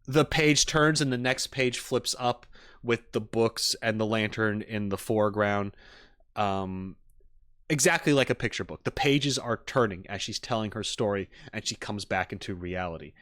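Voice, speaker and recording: Low-voiced man doing enthusiastic reaction commentary. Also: moderate pace, a bit bright, dry recording, studio quality. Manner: enthusiastic, analytical, rambling. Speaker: streamer host. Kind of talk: casual reaction commentary to live chat.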